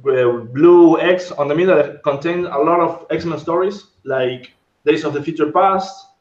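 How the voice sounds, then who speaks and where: A man's voice: a little high, unhurried. A man in his thirties from Argentina whose first language is Spanish, a guest sculptor chatting in a rambling way and searching for English words. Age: 30s. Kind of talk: casual interview chat. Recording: dull, roomy sound.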